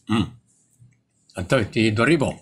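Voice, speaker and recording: A man's voice: fairly low pitch, lively intonation, a little slow. An Ilocano-accented man playing a kindly, patient charity vlogger in a comedy audio drama, speaking in a hesitant, conversational way. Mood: helpful, amused.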